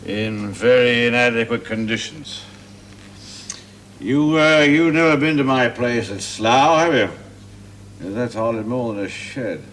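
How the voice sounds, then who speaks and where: A man's voice: mid-range voice, measured pace, a bit bright, close to an everyday voice. A man acting in a 1970s television drama as an awkward, earnest inventor, hesitant but insistent. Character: earnest, awkward, pleading male engineer and inventor. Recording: noisy 1970s television soundtrack.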